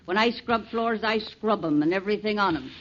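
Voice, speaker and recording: A woman's voice: mid-range voice, a little fast, close to an everyday voice. Woman playing a brusque, folksy scrubwoman. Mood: wry, brusque. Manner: brusque, folksy, sing-song. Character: wisecracking, unhurried cleaner who sings while scrubbing. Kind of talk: 1940s radio acting. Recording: muffled, hissy 1940s radio recording.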